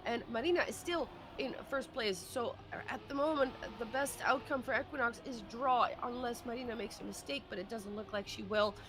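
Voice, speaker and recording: A woman's voice: on the high side, fairly quick, hardly any pauses. A woman commentating live on a racing game, adding analysis as an esports co-caster.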